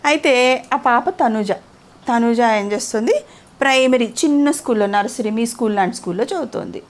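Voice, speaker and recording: Female storyteller, high voice, chatty bedtime-tale delivery that quizzes the young listener. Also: expressive, moderate pace. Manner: interactive, asks the child questions. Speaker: storyteller to a child. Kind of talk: conversational bedtime moral storytelling.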